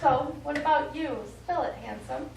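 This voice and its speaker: A woman plays a tough, sardonic astronaut on stage, one of the guys, with a high-pitched voice. She is recorded from a distance in an echoey room. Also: normal speed, gravelly, background noise. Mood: teasing. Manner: brash, sardonic. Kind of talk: stage play dialogue. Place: small studio theatre, recorded from afar.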